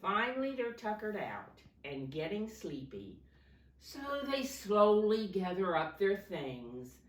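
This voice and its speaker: A woman reading a picture story aloud to young children in a sing-song way, pausing to point things out and ask simple questions. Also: medium pitch, very animated. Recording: roomy echo, distant microphone.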